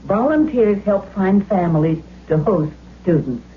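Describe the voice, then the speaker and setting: A woman's voice: medium pitch, animated, measured pace. A woman reading a scripted, informational public service announcement. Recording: very dull radio recording with faint hum.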